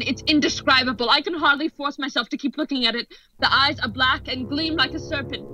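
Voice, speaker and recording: A woman playing an excited, increasingly horrified radio news reporter giving dramatic, halting eyewitness commentary in a radio play. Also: high-pitched, lightly acted. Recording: dull lo-fi audio under music.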